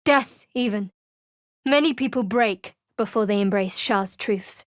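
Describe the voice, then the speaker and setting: A woman playing a guarded, devout, dryly sardonic young cleric in a video game, speaking in a measured way. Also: medium pitch, dry recording, studio quality, lightly acted. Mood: solemn.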